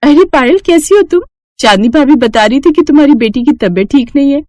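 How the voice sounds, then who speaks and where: A woman's voice: high-pitched, expressive, a little fast, darkish tone, no echo, clean studio sound, voice pushed up. A woman voicing a friendly, chatty young female friend in a moral story. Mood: concerned. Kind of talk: dramatized Hindi moral story.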